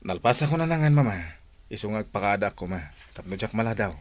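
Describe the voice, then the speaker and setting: A man's voice: on the low side, lively intonation, quick pace, natural voice. An actor playing a dutiful young man starting his first job, respectful and eager, in a radio drama.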